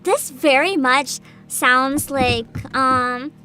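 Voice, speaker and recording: A woman chatting casually to viewers as a livestream host, with a giggly, expressive manner full of interjections. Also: sits high, very slow.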